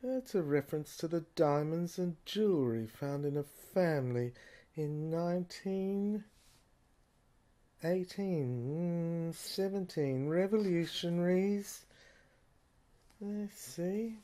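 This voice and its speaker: A man playing a dry, muddled police officer in an amateur audio drama, muttering haltingly as he puzzles through files. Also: on the high side, animated, warm tone. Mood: studious, halting. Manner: halting, muttering, often clearing throat. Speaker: actor in a serial audio drama.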